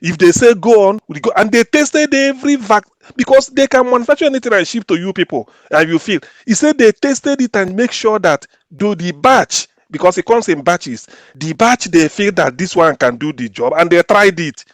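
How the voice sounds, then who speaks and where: A man's voice: high-pitched, animated, moderate pace, few pauses, no room sound, clean studio sound. A man arguing emphatically on a panel, often stumbling and restarting his words. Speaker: panel guest. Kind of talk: heated panel discussion.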